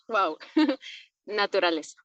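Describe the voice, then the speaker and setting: A woman from Peru, an herbalist answering interview questions in an unhurried, thoughtful way with soft laughs. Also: mid-range voice, very animated, no echo, lo-fi sound.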